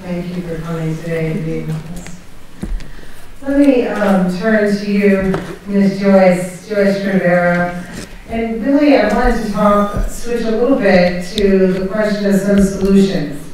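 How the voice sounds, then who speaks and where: A woman moderating a panel, introducing speakers in a measured, reflective way. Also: medium pitch, darkish tone, slight background noise. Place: large lecture hall. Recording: very echoey hall, mic from far off.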